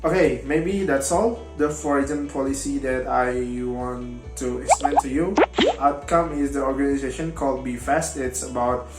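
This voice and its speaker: A young man, a non-native speaker whose first language is Indonesian, giving a class presentation in a halting way with many fillers and broken grammar. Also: medium pitch. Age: young adult. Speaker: university student presenting. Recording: echoey room with faint guitar music.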